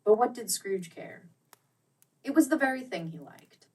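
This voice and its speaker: A woman narrating a storybook dramatically, with deliberate phrasing that swells and drops to a hush. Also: fairly high pitch, animated, breathy edge, distant mic. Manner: theatrical, deliberate phrasing with strong swells and hushes.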